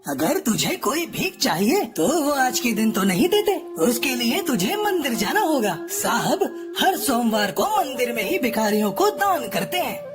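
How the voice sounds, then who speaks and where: High, swooping rude gatekeeper bird played by a woman. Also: very animated, a little slow, hardly any pauses, over music. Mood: dismissive. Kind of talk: Hindi cartoon story acting.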